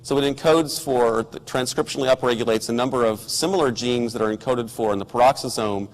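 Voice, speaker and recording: Hoarse man lecturing in a reverberant hall. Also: medium pitch. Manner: measured, technical, with ums and restarts. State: hoarse from a cold. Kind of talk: academic science lecture.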